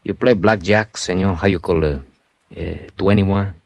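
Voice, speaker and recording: A man's voice: low voice, fairly even intonation, measured pace, creaky, a little mellow, a little hiss or hum. An actor playing a fat, sleepy, sly male hotel clerk with a lazy, sardonic drawl in a radio drama. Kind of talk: classic radio drama acting.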